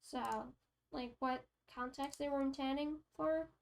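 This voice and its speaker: A woman's voice: sits high, fairly even intonation, measured pace, vocal fry. A young French Canadian woman with a French Canadian accent, chatting casually on a livestream, rambling with lots of fillers and restarts. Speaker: streamer.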